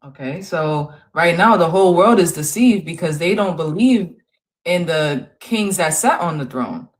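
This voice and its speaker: Low-voiced woman explaining calmly. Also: a little slow. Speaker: co-host of a religious stream panel. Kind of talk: live-stream discussion.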